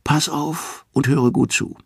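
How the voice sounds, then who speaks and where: Storybook underworld queen, played by a man: malicious, imperious, husky and creaky, menacing delivery. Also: fairly high pitch, fairly even intonation, takes it slowly, no echo, clean studio sound, pitched above the performer's own voice, exaggerated voice. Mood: malicious, commanding.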